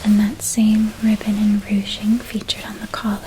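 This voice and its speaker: A woman playing a gentle, nurturing witch in a whispered ASMR roleplay, speaking in a soft, breathy, doting manner and lingering over details. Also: medium pitch, monotone, moderate pace, a little hiss or hum, close to an everyday voice, low-quality recording. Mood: gentle, caring. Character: gentle, nurturing witch helping a young student pack.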